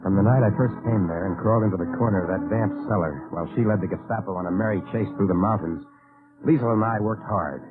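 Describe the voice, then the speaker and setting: An actor playing an intense, distrustful undercover agent in a dramatic old-time radio play. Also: male voice, on the low side, fast talker, over music, close to an everyday voice. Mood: grave, recounting. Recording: tinny vintage radio recording.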